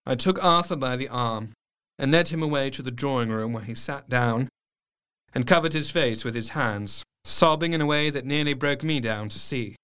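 An actor playing a sorrowful, earnest doctor who narrates a gothic tale in measured, literary phrases. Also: male voice, mid-range voice, a bit bright, smooth, lightly acted. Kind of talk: dramatic scripted narration.

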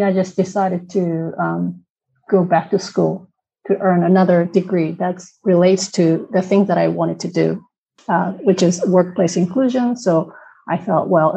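A woman from Japan whose first language is Japanese, answering interview questions in a hesitant way with many pauses and restarts. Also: lowish voice, vocal fry, dark tone, breathy edge, muffled recording. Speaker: podcast guest.

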